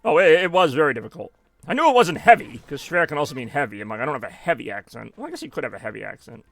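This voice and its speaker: A man's voice: on the high side, huge pitch swings, racing. A man giving rambling, self-mocking live gameplay commentary as a streamer, now and then dropping in German phrases. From United States. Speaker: gaming streamer.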